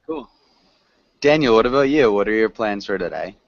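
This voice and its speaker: A man with a slightly low voice teaches English to a group online. He speaks in an encouraging, conversational way as he guides learners. The audio is dull and lo-fi, like a video call. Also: expressive, moderate pace, vocal fry, no echo.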